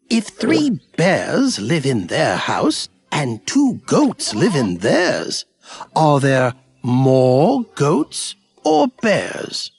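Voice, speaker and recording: A pompous, patient cartoon storybook narrator, speaks with grand formality. The pitch swings very widely, the pace is slow and deliberate, and the tone has a husky edge. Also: no room sound, heavily acted.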